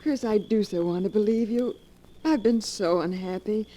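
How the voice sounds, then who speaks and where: A woman's voice: medium pitch, normal speed, mellow, a little hiss or hum, close to an everyday voice. A woman playing a gushing, coquettish society granddaughter in a 1940s radio drama, on an old recording. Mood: tender, yearning.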